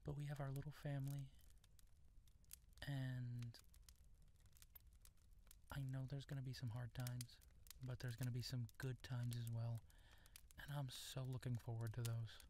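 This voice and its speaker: A man playing an affectionate, playful young husband and new father in an intimate audio roleplay, with a tender, teasing manner and hesitant pauses. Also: medium pitch, level tone, warm tone, slight background noise, close to an everyday voice. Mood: tender, sincere.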